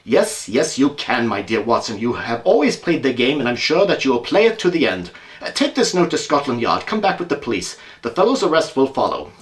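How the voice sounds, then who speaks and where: Man playing a brisk, wry, animated detective. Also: mid-range voice, natural voice. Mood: commanding, playful. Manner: emphatic, wry, theatrical. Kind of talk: amateur audio drama acting.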